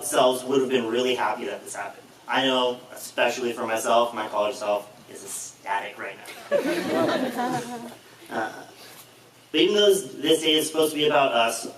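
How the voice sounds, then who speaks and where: A young man speaking at his own wedding reception with a mid-pitched voice he jokes is a monotone. His dry asides come through a mic picked up from across a roomy hall, with plenty of noise in the background. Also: distant mic. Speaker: groom giving a thank-you speech.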